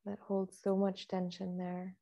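A woman leading a guided meditation as a teacher, speaking in a calm, measured, softly instructive way with long pauses. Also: mid-range voice, creaky.

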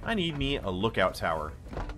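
A man with a low, slightly hoarse voice drifts through a building game, muttering to himself in slow, unhurried asides. His tone rises and falls a lot as he reacts, and loud game music plays behind him. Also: big pitch swings. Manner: talks to himself while building, wry asides. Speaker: let's play host. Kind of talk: casual gameplay commentary.

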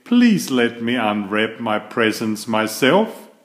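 A man voicing a young boy in a storybook, a polite but exasperated big brother who protests emphatically and deliberately. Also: mid-range voice, sing-song.

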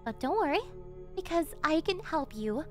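Yandere girlfriend, very high voice, sugary and controlling, obsessive captor, ASMR roleplay over music. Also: sing-song, moderate pace. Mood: condescendingly soothing. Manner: cloying, coaxing, with breathy giggles and gasps. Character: possessive, obsessive young yandere girlfriend, sweetly menacing captor.

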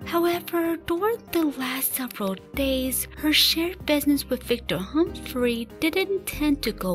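High-voiced woman narrating a story.

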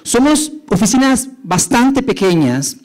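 A man's voice: very high-pitched, very animated, unhurried, darkish tone. A middle-aged Italian man with an Italian accent, giving a hesitant, explanatory conference talk from an auditorium stage. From Italy. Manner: many fillers and restarts, explanatory. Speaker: conference speaker, urban designer.

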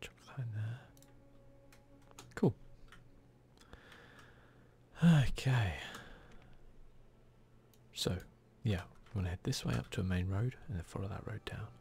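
A man's voice: lowish voice, brisk, creaky, darkish tone, slight background noise. A man with a British accent giving casual, whispered ASMR commentary as he plays a video game. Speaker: gaming video host.

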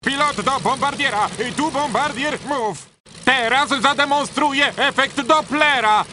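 Game dwarf aviator: very high voice, cocky and excitable, unhurried exclamatory barks over engine noise. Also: male voice. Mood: cocky, gleeful. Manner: exclamatory, boastful, theatrical short barks. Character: cocky, excitable male dwarf gyrocopter pilot. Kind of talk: video game unit quotes, Polish dub. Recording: loud engine noise behind voice.

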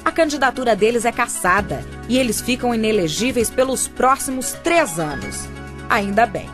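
High-ish Brazilian woman narrator over music. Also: animated, normal speed. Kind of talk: scripted radio drama narration.

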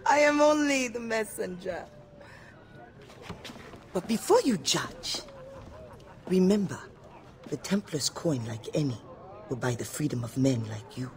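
A shrewd madam who speaks slowly and with poise. Her pitch rises and falls widely, sardonic and haughty, in a cinematic game scene with faint noise behind. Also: expressive. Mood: sly. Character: shrewd, haughty female madam, sly power broker. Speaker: video game character actor. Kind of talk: dramatic cinematic game acting.

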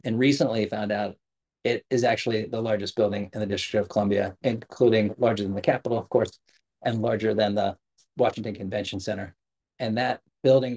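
A man's voice: lowish voice, a little mellow, breathy edge. A middle-aged man giving a prepared keynote over a video call, speaking earnestly with frequent fillers and self-corrections. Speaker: government sustainability official giving a keynote. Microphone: video call, webcam at desk.

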